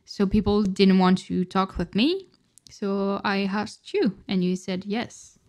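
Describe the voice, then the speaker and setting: Slow-talking woman with a French accent. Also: medium pitch, darkish tone. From France. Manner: chatty, storytelling with frequent restarts. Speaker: podcast co-host. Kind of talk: casual chat.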